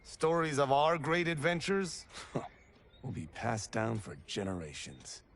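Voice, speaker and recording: A man's voice: medium pitch, unhurried, slight background noise. A man playing a restless young farmer who dreams of glory in a video game, earnest and playfully cocky. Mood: wistful longing. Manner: earnest, animated. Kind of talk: naturalistic video game acting.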